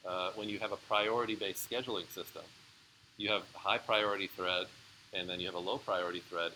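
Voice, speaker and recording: A man's voice: fairly low pitch, pushed and bright, a little hiss or hum, rough recording. A man giving a live university lecture, explaining in a casual way with frequent fillers and answering student questions. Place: lecture room.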